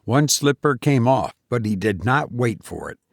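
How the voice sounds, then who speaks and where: A man's voice: mid-range voice, sing-song, moderate pace, creaky, no echo, studio quality. A man reading a story aloud as scripted audiobook narration. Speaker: audiobook reader.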